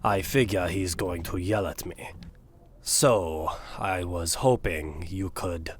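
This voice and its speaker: An awkward, lonely office worker in an audio drama, as a man voices him. His voice is deep and husky, and he talks slowly, trailing off as he goes. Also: heavily acted. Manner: hesitant, awkward.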